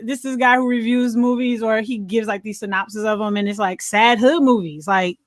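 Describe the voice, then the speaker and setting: Higher-pitched woman, close dry sound. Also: fairly even intonation, a little fast, no echo. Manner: informal, conversational, slangy. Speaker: podcast co-host. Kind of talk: casual film review chat.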